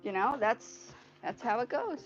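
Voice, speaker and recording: High-voiced woman livestreaming, native Indonesian speaker. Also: a little slow, faint music behind. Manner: rambling, repeats phrases, animated asides. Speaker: livestream host. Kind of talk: casual livestream chat with viewers. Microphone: earpiece mic on a phone. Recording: dull lo-fi livestream audio through earpiece mic.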